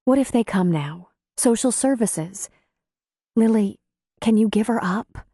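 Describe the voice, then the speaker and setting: Audiobook role: female narrator as caring midwife; soft mellow tone, level-headed, gently firm, dry studio sound. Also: fairly high pitch, expressive, unhurried, no room sound, pitched above the performer's own voice. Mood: probing, concerned. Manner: gentle, concerned, earnest. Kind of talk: audiobook character dialogue.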